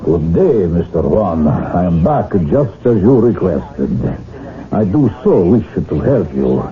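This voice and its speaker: A menacing Italian gentleman killer, formal and insinuating, with pitch that rises and falls dramatically. The sound is tinny, like an old radio broadcast. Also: big pitch swings, takes it slowly, soft background music, heavily acted. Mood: falsely courteous.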